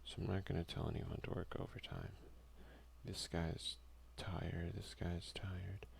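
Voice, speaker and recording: A man giving soft, hushed ASMR commentary as he plays a video game. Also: low voice, level tone, a little slow, creaky, a little hiss or hum. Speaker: let's play streamer.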